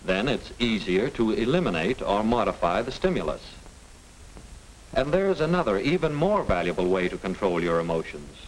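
A middle-aged American man reading scripted narration as a lecturer, didactic and deliberate. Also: lowish voice, very animated, poor audio. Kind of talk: scripted educational film narration. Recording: hissy 1950 film soundtrack.

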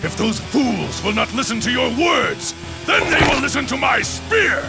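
A hot-blooded, boastful warlord for a game. His mid-pitched voice is gravelly and swings widely in pitch, commanding throughout, over prominent music. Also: big pitch swings, normal speed, full character voice. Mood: furious. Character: proud, fierce, hot-blooded warlord, devoted protector. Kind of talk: dramatic video game voice acting.

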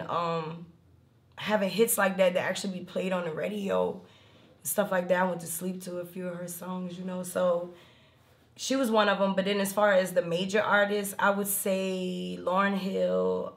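A woman in her thirties with a raspy alto voice, chatting casually in an interview and rambling through stories with many fillers. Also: fairly low pitch. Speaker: rapper and singer interviewed. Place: indoor room, seated on a leather couch.

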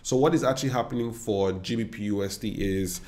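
A man's voice: fairly low pitch, brisk, a little mellow. Confident Nigerian man explaining chart trades. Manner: confident, chatty, talks straight to viewers with asides. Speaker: forex trader and educator. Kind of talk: screen-share trading analysis. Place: indoor room.

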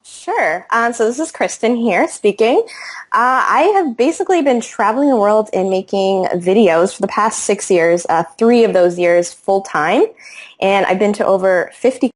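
A woman's voice: mid-range voice, lively intonation, moderate pace. A woman speaking conversationally as a podcast guest, introducing herself in an interview. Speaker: podcast guest, travel video maker.

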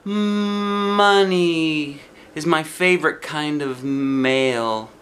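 A man's voice: on the high side, extremely slow, a bit bright, slight background noise, voice pushed up. A man voicing a gushing, snobbish rich little girl in a storybook, with a showy squeal.

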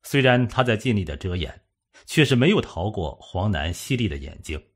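A man's voice: lowish voice, sing-song, normal speed, slightly rough. A man narrating a suspense novel from a script, as an audiobook reader.

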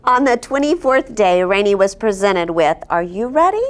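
A middle-aged woman reading a picture book aloud to children, expressive, with explaining asides and check-in questions. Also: medium pitch, moderate pace. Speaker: story time host reading aloud. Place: indoor studio set, seated at a table. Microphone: lapel.

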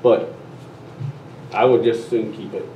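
A man's voice: lowish voice, flat delivery, slow, dark tone, slight background noise. A man on a board, speaking earnestly and emphatically at a meeting. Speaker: board member. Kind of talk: meeting discussion. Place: large meeting room. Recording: echoey room, distant dull camera mic.

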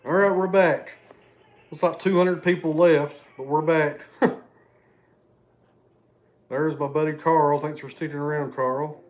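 A man's voice: a little high, fairly even intonation, unhurried, pauses often. A man, hosting a casual livestream from his living room and chatting with viewers. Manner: chatty, thanks viewers by name, loses his train of thought. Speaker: livestream host. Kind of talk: casual livestream chat reading super chats. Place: living room at home, indoors.

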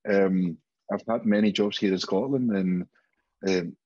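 A man from Spain speaks English with a Spanish accent, his voice slightly low with a husky edge. He chats openly and swears freely as a guest on a video call. The sound is somewhat dull and lo-fi. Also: unhurried, dark tone. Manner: candid, sweary storytelling. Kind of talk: casual interview chat. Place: home room, indoors.

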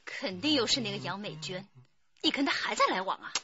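An actress playing an aggrieved, jealous wife with a husky voice in a radio drama. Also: female voice, mid-range voice, expressive, brisk, bright, forceful tone, lo-fi sound. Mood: furious, shrill.

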